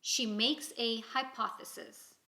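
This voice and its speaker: A woman reads a children's story aloud in an expressive, deliberate storytelling manner. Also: a little high. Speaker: storybook reader. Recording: roomy, echoing indoor sound.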